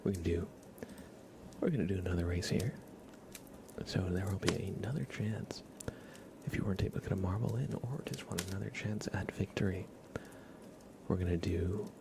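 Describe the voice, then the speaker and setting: Soft-spoken asmr guy rambling to his stream, gravelly voice, noticeable noise in the recording. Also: deep voice. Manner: informal, chatty, rambling. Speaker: ASMR livestream host. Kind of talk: ASMR livestream chat, soft-spoken to whispered.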